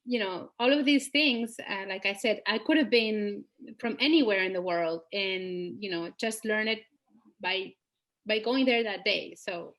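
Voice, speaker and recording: A woman's voice: medium pitch, measured pace, a bit bright. A woman from Spain, speaking as a local journalist on a video-call panel, hesitant and digressive with many fillers. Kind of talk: virtual panel discussion.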